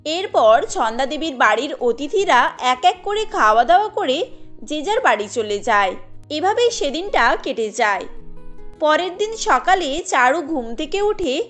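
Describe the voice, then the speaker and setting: A woman's voice: high voice, big pitch swings, measured pace, fairly bright tone, faint music behind. A woman narrating a cartoon family tale in a scripted storybook style.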